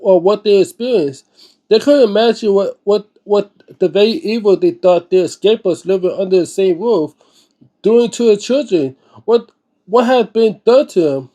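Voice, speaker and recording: High-pitched man reading aloud, stumbling over words. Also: mellow. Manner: halting, stumbling, repeating words and phrases; slangy, informal asides. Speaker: host of a read-aloud book series. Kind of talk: dramatic book reading with informal spoken commentary.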